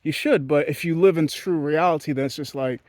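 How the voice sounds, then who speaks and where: A man from Haiti talks straight to his audience in a candid, meandering rant full of swearing. His voice sits a little high, and it leaps up and drops low as he goes. The sound is dry and close, with no room around it. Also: a little fast, a little mellow, no echo. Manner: blunt, profane and rambling, with sudden jumps in pitch. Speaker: vlogger. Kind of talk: candid ranting monologue.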